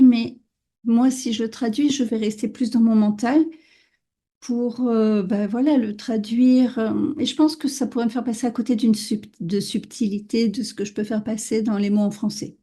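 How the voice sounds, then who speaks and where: A French woman hosting a podcast interview, speaking in a casual, thoughtful way with frequent pauses and fillers. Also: on the high side, fairly even intonation, dark tone. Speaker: podcast host.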